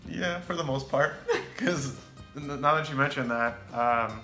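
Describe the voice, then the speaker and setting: A young American man chatting casually as co-host, relaxed and rambling with fillers. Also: medium pitch, unhurried. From United States. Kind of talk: casual chat. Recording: echoey room with faint music.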